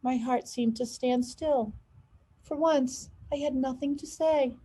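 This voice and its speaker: A woman reading a children's storybook aloud in an expressive, dramatized storytelling manner. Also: high-pitched, takes it slowly, slightly rough. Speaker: school leader reading aloud.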